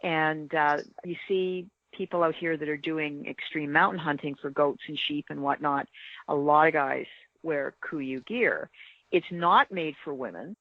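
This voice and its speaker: A woman's voice: low-pitched, measured pace, dry recording, highs cut off. A woman speaking earnestly and emphatically from experience, giving practical advice in a casual panel chat. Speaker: podcast guest, experienced hunter.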